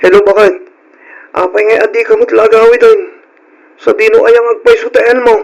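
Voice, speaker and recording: An actor playing a stubborn, whiny husband in an old radio drama, plaintive and agitated, on a noisy recording. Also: male voice, very high-pitched, little pitch movement, a little fast, vocal fry. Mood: anxious. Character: whiny, stubborn lazy husband and son, Michael.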